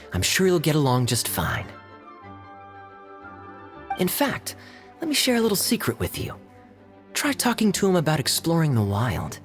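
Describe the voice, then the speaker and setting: A man voicing a charming, sly young knight in a game cutscene, smooth and teasing in a confiding way. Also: on the high side.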